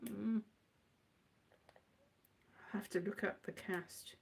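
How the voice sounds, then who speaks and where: A woman's voice: medium pitch, steady tone, slow pace, gravelly. A middle-aged woman from Wales rambling casually in a scatty, digressive way, thinking aloud and muttering asides to herself. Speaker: writer and performer, host.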